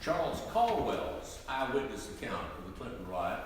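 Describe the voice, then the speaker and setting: A man's voice comes from far off in a very echoey hall. He gives scripted historical narration at a medium pitch with very lively intonation. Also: normal speed. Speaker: narrator introducing testimony. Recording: very echoey hall, distant, faint noise.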